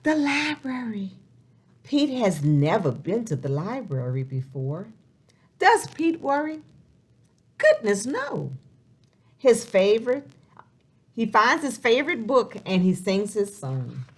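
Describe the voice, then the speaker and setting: A woman reading a picture book aloud to young children, expressive and sing-song, posing questions as she goes. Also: on the high side, takes it slowly, pauses often, breathy edge. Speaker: children's storybook reader.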